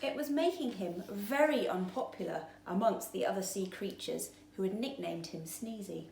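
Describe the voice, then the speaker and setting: A woman reading her own children's picture book aloud with slow, expressive storytelling. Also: medium pitch, gravelly. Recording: roomy echo, faint background noise.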